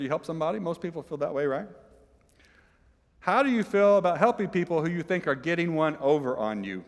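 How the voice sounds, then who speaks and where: Conversational male preacher in a reverberant hall. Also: mid-range voice. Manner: conversational, jokey, asks the congregation questions. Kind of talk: sermon. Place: church sanctuary.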